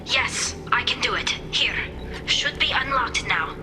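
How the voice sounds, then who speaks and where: An actress voicing an earnest young female quarian engineer who speaks softly and hushed, then turns eager, in a video game. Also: fairly high pitch, expressive, measured pace. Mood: urgent. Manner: soft, hushed and wondering, turning eager. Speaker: alien engineer ally. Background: game music.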